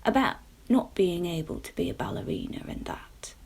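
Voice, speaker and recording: A woman voicing a kindly male earthworm, slow, folksy and gently reassuring. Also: on the low side, wildly swooping pitch, slightly rough, whispered, exaggerated voice.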